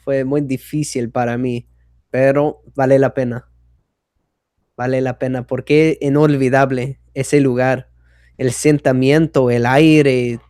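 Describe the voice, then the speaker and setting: Podcast host, male, learner, slow deliberate pace, dry studio sound. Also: medium pitch, a little mellow, no room sound. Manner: slow, searching for Spanish words. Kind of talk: casual chat.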